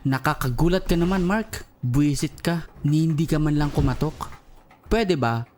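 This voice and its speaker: A man's voice: on the high side, moderate pace, background noise, lightly acted. A man playing a grumpy, easily irritated young boss in a Filipino audio drama, testy and exclaiming, with a Filipino accent.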